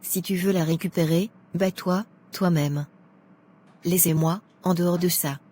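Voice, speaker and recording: Woman acting a blunt, low-voiced judgmental churchwoman. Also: fairly even intonation, unhurried, warm tone. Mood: indignant scolding. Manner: outspoken, scolding.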